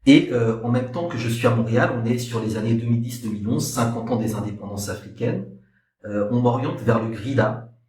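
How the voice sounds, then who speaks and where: A middle-aged man giving a spoken personal account as a historian and activist, discursive and halting, repeating points for emphasis. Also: medium pitch. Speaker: historian and activist guest speaker. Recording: echoey room, distant microphone.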